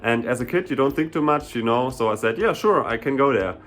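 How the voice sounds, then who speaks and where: A young man from Germany with a German accent, a sprinter and hurdler answering viewer questions in a relaxed, chatty way, full of "you know" and "like". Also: medium pitch, brisk, soft background music. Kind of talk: casual Q&A vlog.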